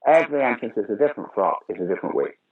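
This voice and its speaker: A man's voice: fairly low pitch, very fast, narrow, tinny audio. An older British man, a pantomime dame actor, telling stage stories in a casual interview. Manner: storytelling, animated anecdotes.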